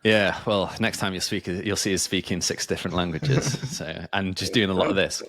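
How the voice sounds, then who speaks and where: Deep-voiced man chatting on a podcast. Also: normal speed, fairly bright tone. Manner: rambling, filler-heavy, many restarts. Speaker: podcast host. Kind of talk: casual chat.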